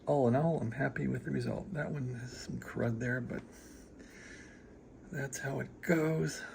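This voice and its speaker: A man giving a casual, rambling show-and-tell as a hobbyist, laid-back and halting as he thinks aloud. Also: mid-range voice, vocal fry, a little mellow, slight background noise. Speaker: hobbyist showing a restored radio receiver.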